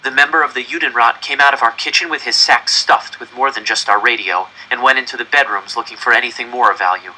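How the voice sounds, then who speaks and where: A man's voice: mid-range voice, fast, few pauses, pushed and bright, slightly rough, poor audio. A man reading a first-person story aloud with dramatic, tense delivery. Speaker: audiobook reader.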